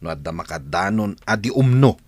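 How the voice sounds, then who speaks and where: A man playing a sly, smooth-talking lover in a radio drama. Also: deep voice, animated, fairly quick.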